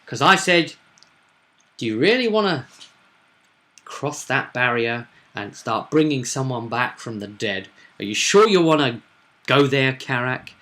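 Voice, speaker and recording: A man with a bright, forward voice talks casually as a game master. He retells a tabletop roleplaying session like a story, leaning hard on key phrases for dramatic effect. His pitch sits in the middle of the male range. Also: animated, a little slow.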